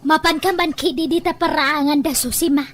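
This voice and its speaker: Female actor as lively, defiant villager in audio drama, very high voice, unbothered manner. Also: brisk, close to an everyday voice.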